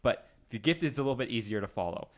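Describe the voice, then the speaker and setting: Animated male podcast host. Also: medium pitch, fast. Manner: animated, joking, geeky enthusiasm. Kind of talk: casual group chat.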